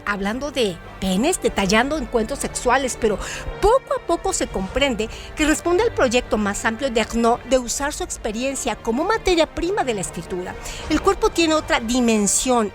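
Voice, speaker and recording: A woman reading scripted literary narration as a storyteller, in a measured, articulate manner. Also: high-pitched. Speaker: narrator, writer and director of an audio story show. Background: background music.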